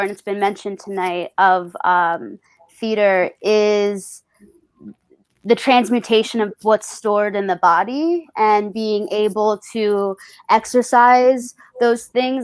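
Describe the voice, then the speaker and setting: A woman's voice: mid-range voice, clear, resonant tone, dry recording. A woman actor on a panel, reflecting aloud and searching for her words as she goes.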